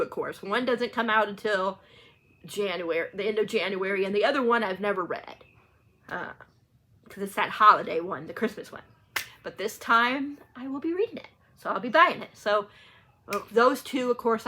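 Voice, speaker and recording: A woman's voice: a little high, pushed and bright. A woman talking casually to viewers in a rambling, informal book vlog, often getting sidetracked. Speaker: book channel vlogger.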